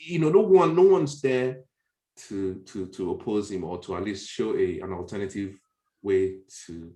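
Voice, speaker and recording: A man with a Nigerian accent giving opinions in a casual podcast discussion, halting and repeating his phrases. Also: on the low side, dark tone.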